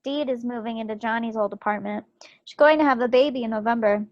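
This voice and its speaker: A plucky, loving young wartime wife played by a woman reading a stage play. Her voice sits fairly high, and she chats tenderly and easily. The video call sound is dry and a little dull. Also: quick pace, dry recording, natural voice. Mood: chatty. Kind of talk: dramatic table read of a stage play. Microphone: computer mic on a video call.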